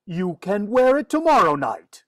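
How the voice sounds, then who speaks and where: A woman acts as a long-suffering daddy in old-time radio comedy. The delivery is slow, with big swings in pitch and a close, dry sound. The tone is firm and wry. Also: big pitch swings, no echo. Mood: firm, exasperated. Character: exasperated, firm father of a mischievous girl. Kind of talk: 1940s radio comedy acting.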